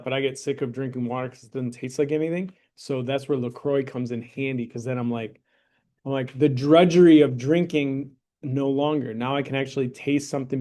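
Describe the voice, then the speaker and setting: A man's voice: mid-range voice, darkish tone. Male math teacher in a classroom, conversational and jokey, keeps asking "right?". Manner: joking, conversational, checks in with "right?" and "okay?". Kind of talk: classroom lesson with a mock sponsor plug.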